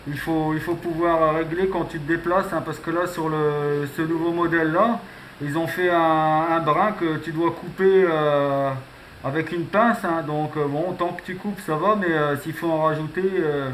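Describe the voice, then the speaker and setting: Male radio hobbyist, slightly high voice, casual and rambling, noisy recording. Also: steady tone, normal speed, fairly bright tone. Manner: rambling, punctuates phrases with filler tags. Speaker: amateur radio operator. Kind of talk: casual radio contact chat.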